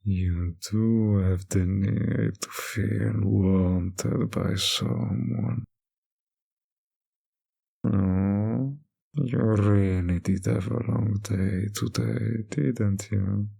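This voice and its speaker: A man playing a teasing, possessive male vampire in an intimate roleplay addressed to the listener, with a husky, gravelly voice and a lingering delivery. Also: lowish voice, steady tone, drawn-out words, mellow, exaggerated voice. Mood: coaxing, possessive.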